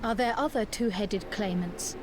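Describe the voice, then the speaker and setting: A woman's voice: mid-range voice, moderate pace, noisy background, natural voice. A woman voicing a polite, level-headed young scholar in a video game, composed and formal. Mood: curious. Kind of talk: scripted video game voice acting. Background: music.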